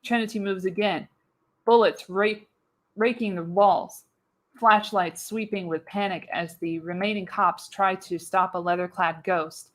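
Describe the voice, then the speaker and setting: A woman's voice: medium pitch, fairly even intonation, measured pace. A woman reading scripted action as the narrator of a live radio play, with dramatic storytelling emphasis.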